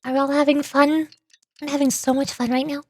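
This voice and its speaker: High-voiced playful woman streamer. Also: flat delivery, brisk. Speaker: streamer voice acting game dialogue. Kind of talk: casual livestream chat. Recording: music behind.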